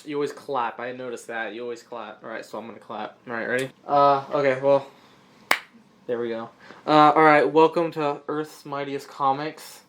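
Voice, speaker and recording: A man co-hosting a comic-book podcast, chatting casually and hesitantly, with frequent pauses and restarts. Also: medium pitch, steady tone, fairly bright tone, slight background noise. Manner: hesitant, lots of uh and false starts. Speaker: podcast co-host.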